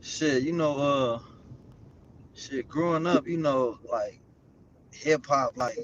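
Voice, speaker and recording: A man's voice: medium pitch, takes it slowly. A man from South Central Los Angeles, a rapper talking as a guest in a casual interview, laid-back and rambling with lots of slang. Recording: dull lo-fi audio with faint noise.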